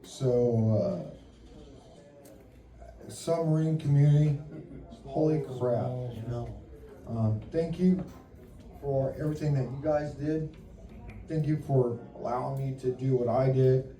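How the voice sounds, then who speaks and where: A man telling a lively, jokey story at a veterans' meeting, acting out the dialogue, recorded far off in an echoey room. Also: medium pitch, slow, long pauses. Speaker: submarine veteran member. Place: meeting hall.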